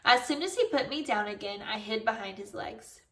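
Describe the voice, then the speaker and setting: A woman's voice: on the high side, expressive, moderate pace, a bit bright. A woman reading a children's book aloud expressively as a storyteller. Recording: roomy echo, distant microphone.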